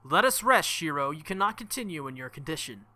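A man voicing an earnest, protective young female knight with formal, measured speech in a visual novel. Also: a little high, sing-song, bright, forceful tone, exaggerated voice. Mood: concerned.

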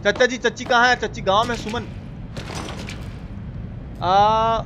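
A man's voice: very high voice, steady tone, slow, highs cut off. A man with a Bihari Hindi accent doing colloquial, slangy live commentary on a gaming stream, swearing now and then. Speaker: game streamer. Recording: clearly noisy game stream audio.

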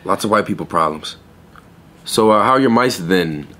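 Deep, deadpan young clerk. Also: male voice, moderate pace, a little hiss or hum. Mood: sardonic. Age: young adult. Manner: deadpan, terse, blunt. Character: unimpressed young male pet store clerk, dry and guarded. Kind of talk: audio drama acting.

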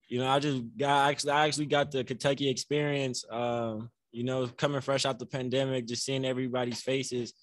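A young man, a college basketball player, answering reporters at a postgame press conference in an easygoing, soft-spoken way with many fillers, into a table mic. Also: medium pitch, dry recording. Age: young adult. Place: press room before a banner. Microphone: table mic on a stand.

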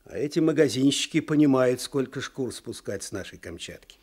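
An actor playing a weary, sardonic older provincial clerk in a radio drama, with a husky voice and folksy, wry speech. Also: male voice, mid-range voice, expressive, fairly quick, darkish tone. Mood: sly, knowing. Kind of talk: Soviet radio drama acting.

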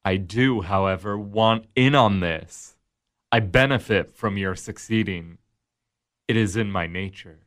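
A slow, mocking Devil. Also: male voice, fairly low pitch, fairly bright tone. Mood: sly. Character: the Devil, smooth, amused male tempter. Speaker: narrator and tempter. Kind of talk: radio drama acting.

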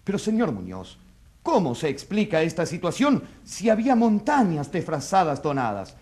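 An actor playing an indignant journalist in a radio drama, accusing with emphatic oratory and rhetorical questions. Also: male voice, fairly high pitch, wildly swooping pitch, moderate pace, darkish tone. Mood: pressing, indignant. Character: indignant crusading male journalist acting as accuser. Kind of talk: dramatic radio play acting.

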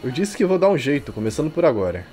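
Man, Brazilian accent, lively intonation, game streamer, reading aloud, slangy and irreverent, music in background. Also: fairly high pitch, fast. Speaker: streamer playing and dubbing a visual novel.

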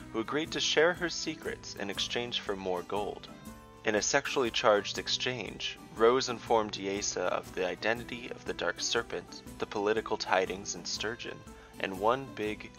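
A man's voice: on the low side, bright, forceful tone. A man narrating a scripted fantasy tale as dungeon master, with theatrical, descriptive storytelling.

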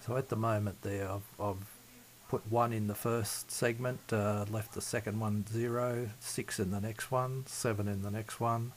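A man demonstrating a radio electronics repair at his workbench, speaking methodically and haltingly with many fillers. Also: lowish voice, fairly even intonation. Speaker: CB radio hobbyist. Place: home workbench.

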